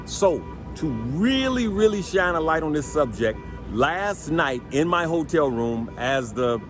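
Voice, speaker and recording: A man with a deep voice hosting a stock trading channel, giving casual advice and driving his points home by repeating them and checking in with "right?". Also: very animated, unhurried, music in the background. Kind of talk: casual finance advice to viewers.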